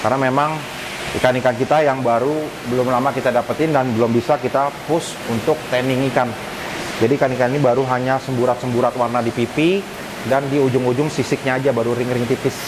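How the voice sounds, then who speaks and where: Male vlogger, medium-pitched, informal explainer talking to viewers as friends, noticeable hiss behind. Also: quick pace. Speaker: arowana fish keeper and vlogger. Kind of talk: casual explanatory vlog talk. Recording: clear hissy background noise. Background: steady hiss.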